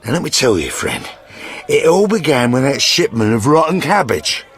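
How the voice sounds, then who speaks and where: A crude mercenary sergeant from a fantasy game. His mid-pitched voice swings widely as he blusters and boasts with theatrical sentiment. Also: wildly swooping pitch, moderate pace, soft background music. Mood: gruff boasting. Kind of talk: fantasy video game dialogue.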